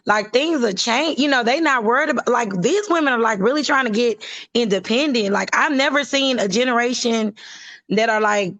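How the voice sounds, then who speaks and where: A young woman from Texas with a hoarse voice, chatting casually and haltingly as a livestream caller. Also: on the high side, a bit bright, dry recording. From Houston, Texas, USA. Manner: halting, frequent use of like. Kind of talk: casual chat.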